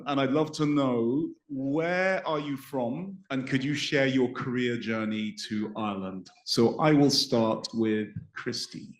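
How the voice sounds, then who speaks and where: Upbeat man moderating a panel. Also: mid-range voice. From Nigeria. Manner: upbeat, jokey, addresses the audience directly. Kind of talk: hosting a live career panel. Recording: echoey event hall.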